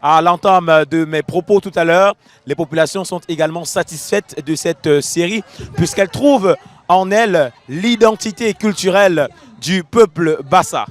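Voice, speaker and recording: A man reporting from a festival, speaking in a measured way with frequent hesitations. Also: high voice. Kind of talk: news report narration.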